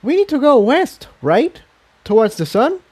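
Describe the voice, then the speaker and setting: A man voicing a gentle, curious female pony with a wistful, inquiring manner in a fantasy story. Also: very high voice, very animated, a little slow, slightly rough, no room sound, pitched above the performer's own voice, full character voice.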